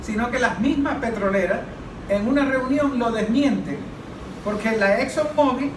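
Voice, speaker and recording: A man's voice: very high-pitched, normal speed. A man with a Venezuelan accent giving emphatic, argumentative political analysis, posing rhetorical questions and answering them. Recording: echoey room, distant mic, noisy.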